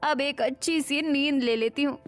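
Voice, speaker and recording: A woman playing a young daughter-in-law in an animated family story, with expressive domestic melodrama. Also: sits high, moderate pace, faint music behind, natural voice. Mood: relieved.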